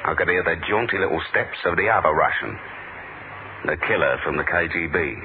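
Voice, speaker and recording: Wry British male spy hero acted, deep and guarded, thin vintage radio recording. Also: brisk, narrow, tinny audio, background noise, lightly acted. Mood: wry, weary. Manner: dry, deadpan, guarded. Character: world-weary, wry British spy, narrating his adventure. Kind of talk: 1970s radio spy drama narration. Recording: thin old radio recording, loud music.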